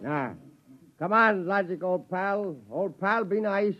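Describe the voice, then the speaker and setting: Tipsy henpecked husband. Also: male voice, high voice, lively intonation, slow, a little hiss or hum. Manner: slurring, pleading, mock-grand. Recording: lo-fi 1950s radio drama.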